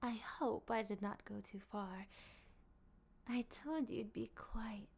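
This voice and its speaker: Woman acting a slow, breathy, possessive vampire lady. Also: a little high, darkish tone. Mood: tender, sated. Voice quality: breathy, often dropping to a whisper. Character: possessive, courteous, hungry female vampire, soft dominant. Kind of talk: intimate ASMR roleplay acting.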